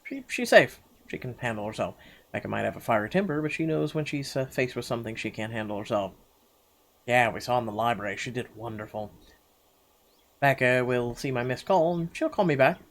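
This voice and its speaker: A man reading a visual novel aloud as first-person dramatic narration, halting now and then over the words. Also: medium pitch, animated, a bit bright. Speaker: game player reading the text aloud.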